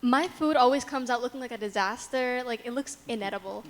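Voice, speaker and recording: A woman's voice: on the high side, a little fast. A seventeen-year-old girl from New Jersey chatting casually in a roundtable. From New Jersey, United States. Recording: reverberant room with faint ambient noise.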